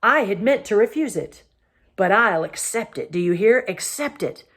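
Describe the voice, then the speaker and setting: Woman voicing a roaring angry king, scornful, proud, loud storybook villain. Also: medium pitch, expressive, normal speed. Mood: furious.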